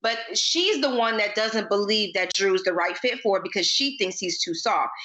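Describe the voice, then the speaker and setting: A woman's voice: mid-range voice, normal speed, bright, forceful tone. A woman co-hosting a casual TV recap podcast, talking conversationally on slightly lo-fi audio. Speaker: podcast co-host.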